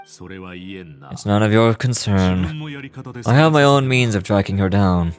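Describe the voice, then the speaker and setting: An actor playing a stern, imposing military leader in a video game, speaking in a formal, terse way. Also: male voice, on the low side, takes it slowly, faint music behind. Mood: cold, commanding. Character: stern, imposing male leader of elite warriors.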